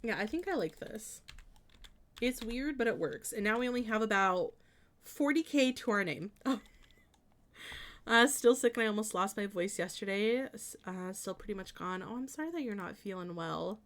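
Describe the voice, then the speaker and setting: A woman's voice: medium pitch, expressive, moderate pace, fairly bright tone. A woman streamer chatting casually with viewers as she plans out a house, thinking aloud in a hesitant, meandering way with many trailing restarts. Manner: chatty and friendly when greeting and answering viewers. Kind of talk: casual live-stream chat while building in a life-sim game.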